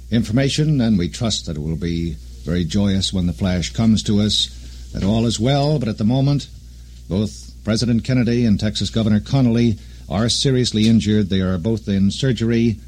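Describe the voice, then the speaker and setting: A man anchoring a live breaking news bulletin on 1960s radio, speaking deliberately and repeating key phrases. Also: on the low side, a little mellow.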